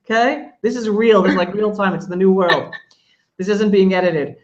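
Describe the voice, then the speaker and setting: A woman's voice: medium pitch, moderate pace. Talkative woman host, lo-fi sound. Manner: lively and warmly talkative, jokes about losing her place. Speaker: interviewer host. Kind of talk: casual interview. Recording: dull lo-fi sound.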